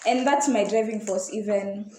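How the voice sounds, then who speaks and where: A woman's voice: medium pitch, moderate pace. A young adult woman with a Kenyan accent chatting casually on a podcast panel, repeating phrases for emphasis. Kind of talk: casual group chat. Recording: roomy echo, faint background noise.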